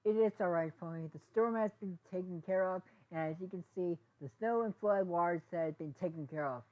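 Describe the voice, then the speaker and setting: Woman as storybook stallion diplomat, calming, imploring, dull recording. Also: lowish voice, fairly quick, lightly acted. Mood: announcing. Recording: dull, lo-fi sound.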